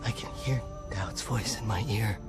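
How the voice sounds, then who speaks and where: An actor playing an ancient god in a video game, eerie and solemn, with halting, hushed, dramatic delivery. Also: male voice, fairly low pitch, over loud music, close to an everyday voice. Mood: dazed, eerie.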